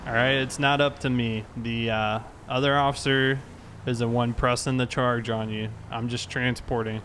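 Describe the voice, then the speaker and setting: A man's voice: mid-range voice, flat delivery, moderate pace, fairly bright tone, natural voice. A man playing an easygoing, matter-of-fact police officer in an online game roleplay, casual and procedural. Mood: patient. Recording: game voice chat with faint noise.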